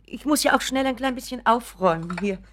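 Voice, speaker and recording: A woman's voice: a little high, animated, brisk, fairly bright tone, slight background noise. An actress playing an anxious, doting mother in a German radio drama, fussing and apologetic, often dropping to a whisper. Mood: meek, fussing. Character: anxious, doting, pleading mother of grown son.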